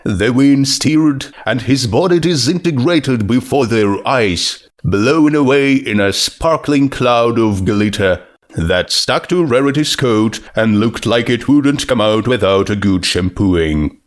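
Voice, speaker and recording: A man's voice: mid-range voice, expressive, takes it slowly. A man reading a scripted story aloud as its narrator.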